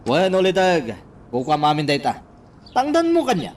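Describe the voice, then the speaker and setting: An actor with a Filipino accent playing a smug, persuasive schemer in a radio drama. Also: male voice, on the high side, wildly swooping pitch, normal speed, slight background noise. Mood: pleased, smug. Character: smug, scheming man directing a staged kidnapping.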